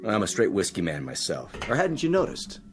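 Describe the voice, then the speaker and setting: Evasive male co-pilot, sci-fi radio play: terse, edgy, animated intonation, faint music underneath. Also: lowish voice, a little fast. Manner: terse, guarded. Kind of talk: radio drama acting.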